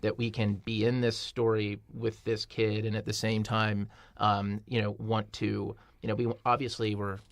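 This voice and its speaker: Wry low-pitched man, dry close recording. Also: little pitch movement, moderate pace, vocal fry, hardly any pauses, dry recording. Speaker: podcast panelist. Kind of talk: casual panel chat about films.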